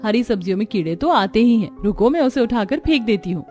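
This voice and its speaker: Woman playing a brisk, dismissive elder sister-in-law. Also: fairly high pitch, expressive, darkish tone, faint music behind, lightly acted.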